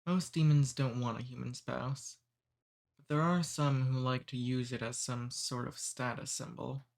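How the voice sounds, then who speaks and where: A man voicing a cordial, amused male demon who takes humans away, speaking softly and unhurriedly in an ASMR roleplay. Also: mid-range voice, fairly even intonation, unhurried, creaky.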